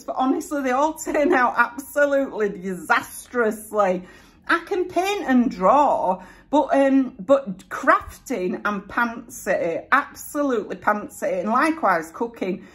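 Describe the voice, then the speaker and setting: A woman's voice: on the high side, fairly bright tone. Chatty broad Yorkshire woman. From North East England, raised in Yorkshire. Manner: chatty, laughs often, many fillers. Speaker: vlogger answering viewer questions. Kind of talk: casual chatty Q&A.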